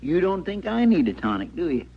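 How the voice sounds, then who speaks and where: An actor playing a simple, kindly, easily flustered frontier deputy in a radio western, with a rural Western American accent and a hesitant, folksy, stammering way of talking. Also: male voice, a little high, very animated, fairly quick, slightly rough, full character voice. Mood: plaintive. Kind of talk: 1950s radio western acting. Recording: muffled, noisy old radio recording.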